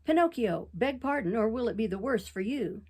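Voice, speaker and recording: A woman's voice: a little high, animated, normal speed, a little mellow, breathy edge. A woman voicing a threatening schoolboy gang leader in a storybook reading, speaking in a taunting, raised voice.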